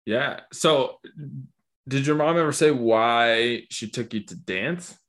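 A man's voice: mid-range voice, slow, a bit bright. A man hosting a podcast, asking questions and musing aloud in a chatty, loose way with fillers. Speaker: podcast host. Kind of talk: casual interview.

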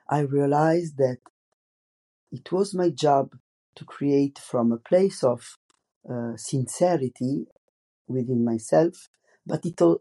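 Italian-accented guy doing a solo podcast, mellow voice, halting english w lots of ums. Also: medium pitch. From Italy. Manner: halting, many fillers and restarts in non-native English. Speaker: podcast host, comics artist.

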